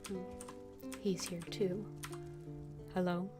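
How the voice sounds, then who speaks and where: A woman's voice: on the low side, flat delivery, slow pace, loud music behind the voice. A woman game streamer giving casual commentary and voicing her dialogue choices aloud as she plays.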